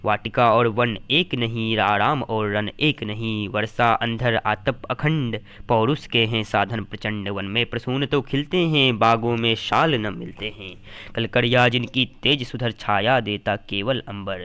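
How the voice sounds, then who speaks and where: A man giving a dramatic poetry recitation in a declamatory, measured, rhythmic delivery of short phrases. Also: mid-range voice, fairly bright tone, clean tone. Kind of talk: dramatic recitation of Hindi epic verse.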